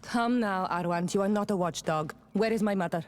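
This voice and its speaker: An actress playing a proud young native clan princess in a fantasy video game, speaking earnestly and deliberately. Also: female voice, medium pitch, natural voice. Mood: urgent. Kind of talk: video game cutscene dialogue.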